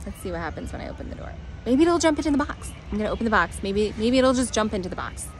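A woman's voice: medium pitch, big pitch swings, fast talker, slight background noise, low-quality recording. A woman in her forties telling a rambling, flustered story into a phone held at arm's length outdoors, sighing often. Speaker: vlogger. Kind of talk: casual selfie vlog. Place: parking lot outdoors.

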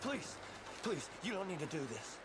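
Frightened young Western captive, stammering, begging, very high pitch, video game, loud score. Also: male voice, sing-song, normal speed. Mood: terrified pleading. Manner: stammering, pleading. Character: frightened, pleading young male captive outlaw hanger-on. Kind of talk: video game character acting. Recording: loud game music behind the voice.

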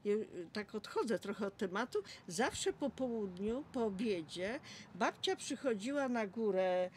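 A woman's voice: mid-range voice, expressive, unhurried, slight background noise. A woman in her sixties from Poland, casually sharing memories and often seeking agreement with little question tags. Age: 60s. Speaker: local resident sharing memories. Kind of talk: casual reminiscing.

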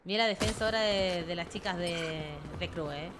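A young Chilean woman with a Chilean Spanish accent and some Argentine touches, giving live esports commentary from an indoor room at home, quick to burst into excited exclamations. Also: on the low side. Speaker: esports caster. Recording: clear background noise from game sounds.

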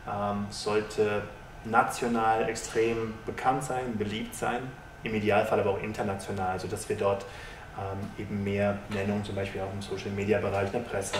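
A man in his thirties, a sponsorship executive, giving measured businesslike interview answers with frequent hesitations, in a stadium lounge. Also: on the low side. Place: stadium lounge with glass walls, indoors. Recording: roomy, distant mic, faint hiss.

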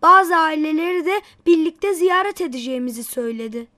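A woman's voice: high voice, lively intonation, brisk, sharp tone. A woman voicing an eager, pious little boy in a children's cartoon, earnest and bubbly. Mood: proud, eager.

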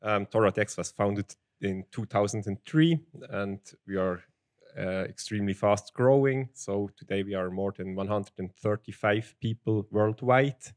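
Low-voiced Swiss German-accented man. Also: unhurried, dry recording, clean studio sound. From Switzerland. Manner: plain, steady, with restarts and "basically". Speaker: conference speaker, field application engineer. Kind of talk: technical conference talk. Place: large conference hall with audience. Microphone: handheld microphone.

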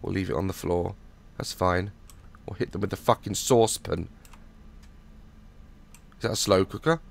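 Hoarse young man, jumpy commentary. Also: sits low, moderate pace. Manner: jumpy, swearing, nervous joking. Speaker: gaming YouTuber. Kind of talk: horror game let's play commentary. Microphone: headset mic.

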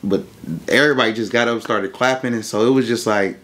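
A man's voice: mid-range voice, fairly even intonation, fast, a bit bright. A young man telling a personal story as an interview guest in a casual, colloquial, matter-of-fact way. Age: young adult.

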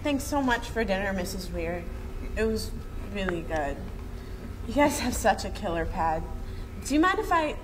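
A woman's voice: medium pitch, sing-song, normal speed, long pauses, close to an everyday voice. A young woman at a table read voicing a brash, tough teenage girl who speaks bluntly and sneers. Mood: defiant. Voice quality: blunt, sneering, laughs between lines. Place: meeting room at a table read. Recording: noisy distant room recording.

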